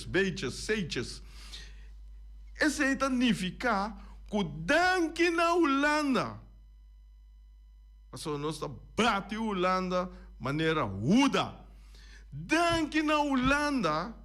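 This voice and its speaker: A man's voice: high-pitched, fairly bright tone. A man hosting a radio talk show, making emphatic points and listing items one by one. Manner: emphatic, repeats and lists points. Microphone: studio radio microphone.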